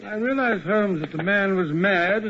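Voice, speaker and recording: An actor playing a bluff, hearty, loyal companion, speaking with a slight fumble in 1940s radio acting. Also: male voice, high voice, moderate pace, pushed and bright, slight background noise. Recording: dull old radio broadcast.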